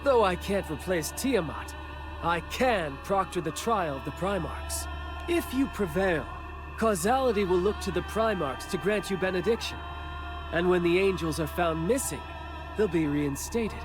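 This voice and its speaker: A youthful god of war and wind for a fantasy game. His voice is high for a male, and he speaks in grand, poetic, formal proclamations. Prominent music plays behind him. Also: animated, normal speed. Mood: earnest, explaining. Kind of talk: video game English dub acting. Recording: voice over background music.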